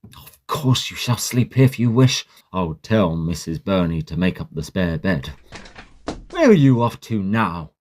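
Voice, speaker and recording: An actor playing a sceptical, kindly, fussy Victorian gentleman doctor in a radio drama, proper and measured in manner. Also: deep voice, sing-song. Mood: calm, sceptical.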